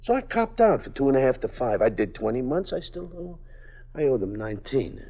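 An actor playing a nervous, evasive small-time parolee who stammers and pleads, in a 1950s radio drama. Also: male voice, medium pitch, sing-song, brisk. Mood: defensive. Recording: dull lo-fi old radio broadcast.

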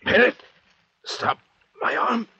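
An actor playing a cunning, controlling doctor adrift at sea in an old radio adventure drama, speaking with measured theatrical authority. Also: male voice, pushed and bright. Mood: alarmed. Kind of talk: 1940s-50s radio adventure drama acting. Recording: dull vintage radio recording.

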